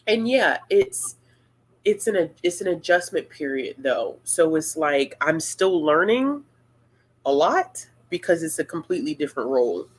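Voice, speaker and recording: A woman hosting a casual live-stream Q&A, talking in a relaxed, rambling and playful way. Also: low voice, unhurried, husky. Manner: laid-back, rambling, playful. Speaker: booktuber host of a live Q&A.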